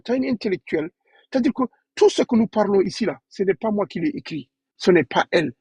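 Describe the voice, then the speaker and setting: A man in a live debate, speaking in a measured, repetitive and defensive way. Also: high-pitched, a little mellow, slightly rough, no echo. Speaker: debate participant.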